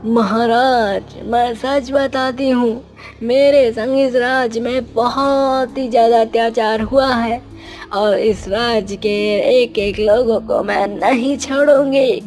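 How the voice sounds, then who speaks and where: A woman plays a vengeful shadow spirit from a cartoon tale, a female phantom thirsting for blood. She draws out her threats very deliberately, full of menace, over faint background music. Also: fairly high pitch, fairly even intonation, a little mellow. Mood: bitter, vengeful. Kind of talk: dramatic cartoon story acting.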